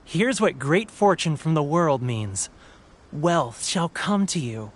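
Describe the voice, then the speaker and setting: A man's voice: on the high side, very animated, slow, exaggerated voice. A man voicing a cheerful shrine fortune seller in a game, jovial and sing-song. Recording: game audio with music.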